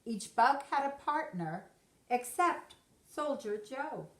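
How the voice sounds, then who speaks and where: Female teacher-storyteller: fairly high voice, unhurried, expressive rhyming read-aloud, roomy sound. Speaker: teacher reading aloud to children. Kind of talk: expressive storybook narration in rhyme. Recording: roomy echo.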